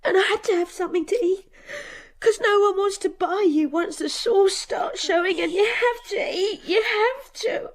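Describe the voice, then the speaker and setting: A woman's voice: extremely high pitch, moderate pace. An actress playing a destitute, anguished young woman, tearful and pleading, in a radio drama. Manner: pleading, tearful, repeating herself.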